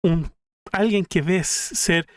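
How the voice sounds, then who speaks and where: A man's voice: sits high, slow. A man streaming a reaction to a video, musing aloud in a thoughtful, casual way.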